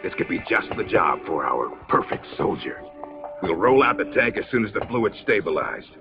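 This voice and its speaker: Cold, demanding commander type for a game, low-pitched, commanding, lo-fi sound. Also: male voice, sing-song, normal speed. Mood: cold, impatient. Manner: curt, dismissive, commanding. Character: cold, demanding male military officer. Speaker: military officer. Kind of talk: scripted video game voice acting. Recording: muffled lo-fi game audio with music.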